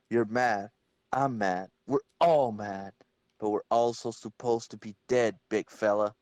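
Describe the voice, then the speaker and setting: A man's voice: fairly low pitch, dry recording, lightly acted. A man reading the part of a level-headed, wisecracking young hero in a casual, wry way, for a comic-book reading.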